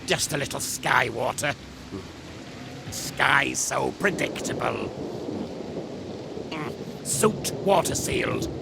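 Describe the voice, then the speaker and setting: A man's voice: mid-range voice, lively intonation, slow pace, long pauses, exaggerated voice. A man voicing verminous, scheming ratman warlords for a video game, irritable and snarling, with jittery, broken phrasing and repeated words. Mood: irritable, dismissive. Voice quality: gravelly, snarling. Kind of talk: video game unit voice lines. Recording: rain and thunder noise over voice. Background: rain, thunderstorm ambience.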